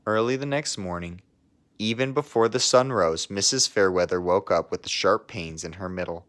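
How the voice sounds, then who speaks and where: Male storybook reader for young children, lower-pitched, expressive read-aloud delivery. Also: moderate pace. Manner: expressive, with asides to listeners.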